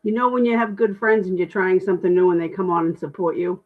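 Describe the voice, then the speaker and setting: A middle-aged woman with a deep voice, chatting candidly and self-deprecatingly on a livestream while reading comments. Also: steady tone, fast talker, narrow, tinny audio. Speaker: livestream host.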